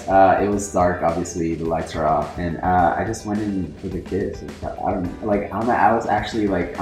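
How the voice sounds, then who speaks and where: A young man and native Spanish speaker, chatting casually through a Q&A vlog at home with rambling "like" fillers. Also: low-pitched. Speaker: social media influencer. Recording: roomy echo with faint music.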